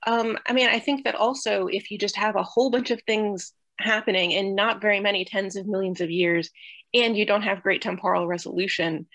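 A woman's voice: medium pitch, a bit bright, no echo. A young woman with a mid-range voice speaks on a video call with very little room sound. She reasons aloud, a little tentatively, in a thoughtful academic style. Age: young adult. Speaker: researcher asking a question. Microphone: video call computer mic.

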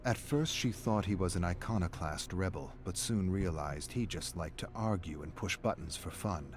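A man's voice: sits low, normal speed, close to an everyday voice. A man reading a scripted, dramatic story narration as the narrator. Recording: background noise under game narration.

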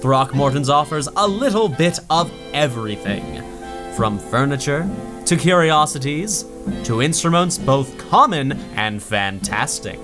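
A man's voice: mid-range voice, animated, measured pace, natural voice. Guy doing a peppy 1930s radio announcer, bouncy delivery, guitar music under. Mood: upbeat. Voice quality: theatrical, salesy. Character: old-time radio announcer man, cheerful salesman. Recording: radio broadcast with music beneath.

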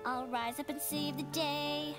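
A woman voicing a self-doubting but determined young cartoon pony in a slow, musical soliloquy. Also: high voice, steady tone, loud music behind the voice. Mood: self-reproachful.

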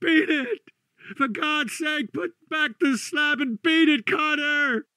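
Panicked horror-story man, very high pitch, creaky, shaky, frantic pleading, despair. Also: slow, bright, forceful tone, no echo, studio quality, exaggerated voice. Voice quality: shaky, strained, breathy cries. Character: terrified man crying warnings from deep inside a crypt.

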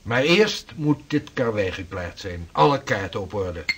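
An actor playing an earnest sixteenth-century navigator and mapmaker in a period radio drama, speaking in formal, measured, archaic phrases. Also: male voice, lowish voice, expressive, a bit bright, breathy edge, full character voice. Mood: determined.

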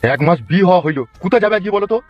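A man voicing a gruff but kindly middle-aged village father in a comic cartoon. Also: high voice, lively intonation, very fast. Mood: reproachful. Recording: dull lo-fi audio, music bed.

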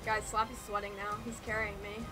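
A woman's voice: mid-range voice, little pitch movement, a little fast, over music, low-quality recording. A young woman streaming a game with casual, teasing, slangy banter. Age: young adult. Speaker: gaming streamer. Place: bedroom.

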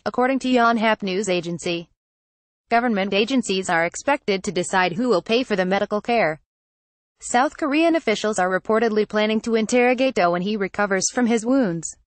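A woman reading a scripted news report in an even, flat delivery. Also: medium pitch, a little fast, no echo. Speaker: news reader.

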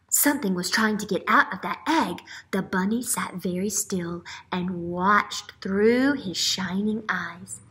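A woman in her thirties, a teacher reading a picture book aloud to young children and asking them questions with drawn-out emphasis. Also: mid-range voice, fairly bright tone. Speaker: elementary school teacher. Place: small classroom-like room, indoors. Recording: roomy indoor echo.

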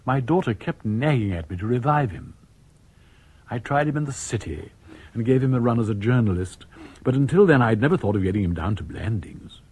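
An actor playing a genial older English author, narrating a radio drama conversationally and straight to the listener. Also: male voice, medium pitch, animated, fairly quick, a little mellow, husky. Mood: wry, reminiscing. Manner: conversational, addressing the listeners directly. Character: genial older male author telling his own story.

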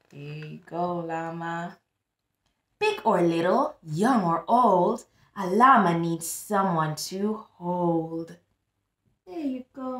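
Very slow, expressive woman reading to children. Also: fairly low pitch. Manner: expressive, deliberate, prompting listeners with questions. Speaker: tutor reading aloud to young children. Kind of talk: children's picture book read-aloud with teaching asides. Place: small plain-walled room indoors. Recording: echoey small room.

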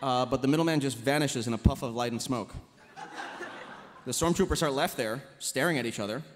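Guy narrating a script read, talks fast, echoey convention hall. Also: medium pitch, noisy background. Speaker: stage directions reader. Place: panel table in large convention hall. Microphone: table microphone.

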